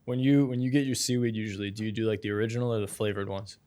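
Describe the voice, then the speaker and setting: A man's voice: lowish voice, fairly even intonation, racing, dark tone. A man co-hosting a podcast, asking questions with dry joking asides. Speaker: podcast co-host. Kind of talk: casual podcast chat.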